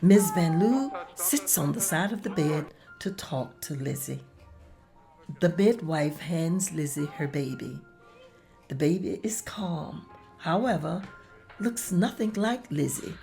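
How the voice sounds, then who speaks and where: A woman narrates a script's stage directions. Her voice sits a little low and moves very slowly. Soft music runs faintly underneath. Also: lively intonation, creaky.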